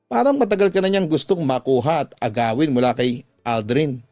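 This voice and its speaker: A man's voice: mid-range voice, animated, a little fast, darkish tone, dry recording, close to an everyday voice. A reproachful young woman played by a male narrator questions and scolds as a worried confidante in a radio drama. The voice is dry and close, over background music. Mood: concerned, suspicious. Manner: reproachful, probing.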